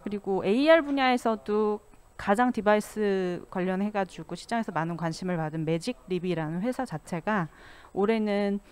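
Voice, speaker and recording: Woman presenting in a mid-pitched voice. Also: normal speed, a little mellow, clean tone. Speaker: panelist, consulting company CEO. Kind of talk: formal presentation on industry trends. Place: stage at an expo panel, indoors. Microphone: handheld microphone, speaking through a face mask.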